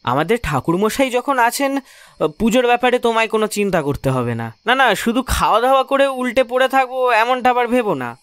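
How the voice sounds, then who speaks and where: A nosy, good-natured sidekick in a dramatised story. His voice is strikingly high and lively, eager and jovial, recorded dry with faint music behind. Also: brisk, smooth, no echo, exaggerated voice. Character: chatty, curious, food-loving male friend, comic sidekick. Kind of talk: audio drama dialogue. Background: faint background music.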